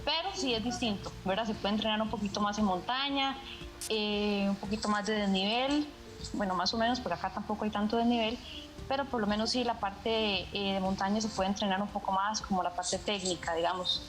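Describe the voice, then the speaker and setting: Costa Rican woman guest on a video call, measured and steady, echoey room, music bed. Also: medium pitch. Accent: Costa Rican Spanish. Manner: measured, fills pauses with "¿verdad?". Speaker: mountain runner guest. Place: at home.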